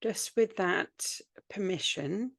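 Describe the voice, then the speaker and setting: A woman guiding a yoga nidra relaxation, speaking soothingly in short, unhurried phrases with long pauses between them. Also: mid-range voice, gravelly, a bit bright, no room sound, clean studio sound. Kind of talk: guided meditation, spoken relaxation practice.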